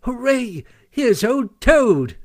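A warm-hearted storybook mole, played by a man, with a high voice. He is earnest and exclamatory in the way he talks. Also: animated, moderate pace, no room sound, clean studio sound. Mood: delighted. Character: eager, warm-hearted, easily impressed male mole. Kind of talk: storybook character voice.

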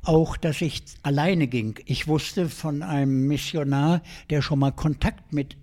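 An older man, a guest in an interview, telling his life story in a lively, colloquial way with many fillers and restarts. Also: on the high side, measured pace. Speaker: guest adventurer.

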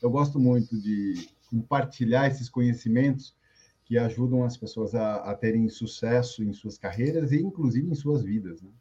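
Man, 50s, guest coach: dark mellow husky voice, relaxed storyteller, dull lo-fi stream audio. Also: medium pitch, normal speed. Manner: easygoing storytelling with fillers. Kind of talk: casual interview on a live stream.

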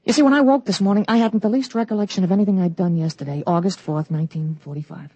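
A man playing a teenage boy with an adult's memories, explaining things calmly and matter-of-factly in a 1940s radio drama. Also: high voice, fast talker, dull audio. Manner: calm, matter-of-fact explaining. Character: teenage boy with an adult mind, calm and knowing.

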